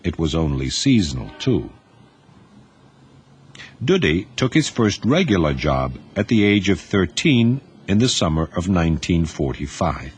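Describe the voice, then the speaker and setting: A man narrates a novel in a deep, husky voice. His pitch rises and falls freely as he tells the story, giving it a lively, animated flow. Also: unhurried. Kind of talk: scripted audiobook narration.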